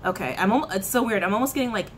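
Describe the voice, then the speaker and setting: A woman's voice: medium pitch, racing. Thirties woman reading tarot to camera, chatty and repetitive, lots of hand talking, small plain room, bit of background hiss. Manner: chatty, animated hand gestures, frequent laughs and repetitions. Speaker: tarot reader. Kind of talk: casual spiritual reading to camera. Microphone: camera in front, head and shoulders framing.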